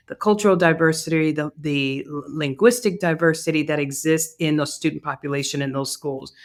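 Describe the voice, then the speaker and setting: A woman in her fifties from Cuba, whose first language is Spanish, giving reflective interview answers with fillers and restarts. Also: deep voice. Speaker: podcast guest, DEI consultant.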